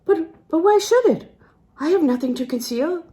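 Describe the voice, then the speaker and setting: A woman's voice: very high-pitched, expressive, moderate pace, mellow, voice pushed up. A woman acting an earnest, devoted young woman from a novel, sincere and easily flustered. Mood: flustered protest.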